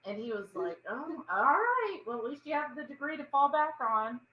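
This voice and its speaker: A woman's voice: high voice, big pitch swings, moderate pace, lo-fi sound, slight background noise. A woman with a Texan accent hosting a casual livestream chat, reading viewer comments aloud and laughing often. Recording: echoey room.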